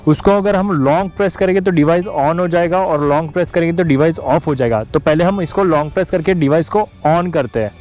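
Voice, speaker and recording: High-pitched Indian man explaining, music behind. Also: fairly quick, hardly any pauses, a little mellow. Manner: explanatory, mixes English terms into Hindi. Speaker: motovlogger. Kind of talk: tutorial vlog to camera and voice-over. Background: background music.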